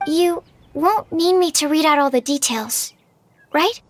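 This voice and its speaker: A woman's voice: sits high, unhurried, a little hiss or hum. A woman voicing a shy, polite young female alchemist in a video game, hesitant and earnest. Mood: nervous, polite. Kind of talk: video game character voice acting.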